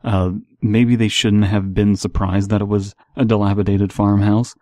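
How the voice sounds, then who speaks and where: A man's voice: lowish voice, flat delivery, moderate pace, darkish tone, no room sound, clean studio sound. A man reading stories aloud and giving casual, analytical commentary as the host.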